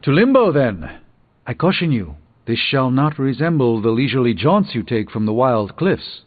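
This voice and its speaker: An actor playing a proud, weary middle-aged sorcerer in a video game, speaking in measured, erudite, dryly wry phrases. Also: male voice, medium pitch, sing-song, no room sound. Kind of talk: theatrical video game character dialogue. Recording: muffled game audio under music.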